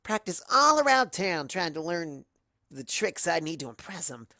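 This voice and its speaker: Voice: mid-range voice, big pitch swings, normal speed, sharp tone, dry recording, studio quality. A storyteller voicing a brash, boastful young female cartoon pony, cocky, casual and emphatic.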